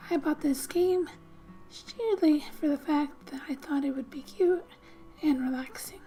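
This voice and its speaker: A woman speaking softly and breathily, often near a whisper, reading a game's story aloud in a gentle, unhurried ASMR style with casual asides. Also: sits high, soft background music. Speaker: ASMR gamer and host.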